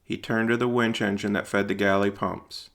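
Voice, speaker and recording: Male narrator for audio fiction: low, gravelly with fry, measured and deliberate. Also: little pitch movement, a bit bright. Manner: measured, deliberate, literary delivery. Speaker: narrator and writer-performer. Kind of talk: scripted audio drama narration.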